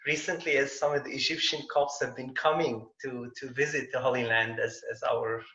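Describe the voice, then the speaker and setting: A man's voice: mid-range voice, fairly even intonation, moderate pace, sharp tone, echoey room, dull audio. A man from Jerusalem, a tour guide, speaking conversationally and reflectively as a panel guest on a video call. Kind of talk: panel discussion answer.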